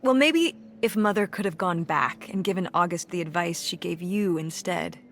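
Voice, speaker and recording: A woman's voice: lowish voice, moderate pace, slight background noise. An actress playing a middle-aged mother in a story game, nostalgic about her college days and firm with her daughter. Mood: wry. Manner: warm nostalgic, then firm and dismissive. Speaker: game character. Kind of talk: voiced game dialogue.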